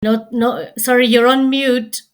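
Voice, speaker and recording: Brisk, informal woman chairing a panel. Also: fairly high pitch, little pitch movement. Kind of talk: moderating a panel.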